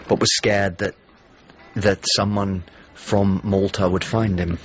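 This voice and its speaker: An actor playing an anxious, grieving painter in a naturalistic radio drama, hesitant, questioning and earnest. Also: male voice, fairly low pitch, level tone, slow pace, highs cut off, a little hiss or hum. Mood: rueful, subdued. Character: anxious, grieving painter, loyal old friend from Sicily.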